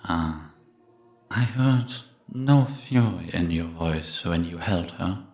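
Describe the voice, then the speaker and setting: An actor playing the ghost of an old priest in an audio drama, serene, solemn and gently probing, in a measured delivery. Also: male voice, deep voice, animated, heavily acted. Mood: probing, calm. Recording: echoey stone-room reverb.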